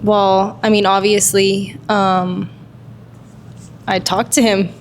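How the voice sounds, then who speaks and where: A woman's voice: medium pitch, flat delivery, slow pace, slight background noise. A young woman, a contestant on a blind-date show, answering in a confident, breezy way.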